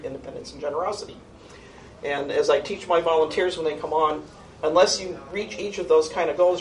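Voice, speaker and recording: A woman with a low-register voice giving an informal annual program report to a board, conversational, with frequent ums and restarts. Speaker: youth program coordinator presenting an annual report. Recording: distant mic in a meeting room with faint noise.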